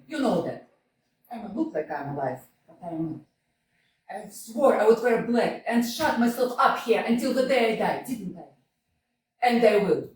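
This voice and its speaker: An actress playing a proud, grieving young widow in a staged reading, full of theatrical declarations. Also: female voice, lowish voice, darkish tone, far from the mic, slight background noise. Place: small community theater stage. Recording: echoey stage picked up from afar.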